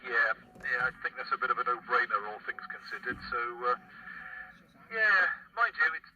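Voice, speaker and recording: A man's voice: medium pitch, very animated, normal speed. A man chatting politely over CB radio, hesitant and drily understated. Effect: heard over CB radio. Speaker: CB radio operator. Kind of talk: casual two-way radio chat. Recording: muffled CB radio, loud static and noise.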